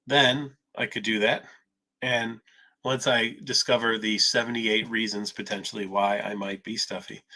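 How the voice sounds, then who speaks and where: Husky-voiced man answering questions live. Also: fairly low pitch, pushed and bright, poor audio. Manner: explanatory, mildly sarcastic when acting out obsessive thinking. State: a little stuffed up. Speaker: psychologist answering viewer questions. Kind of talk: live Q&A talk.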